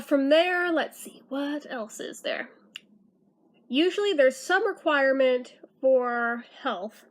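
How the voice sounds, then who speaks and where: A young woman from California with an American accent and a high-pitched voice talks in a chatty, informal way. A slight lisp shows in her speech as she gives advice straight to her viewers. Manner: chatty, informal, self-deprecating. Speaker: vlogger giving school advice. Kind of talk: casual advice talk to camera.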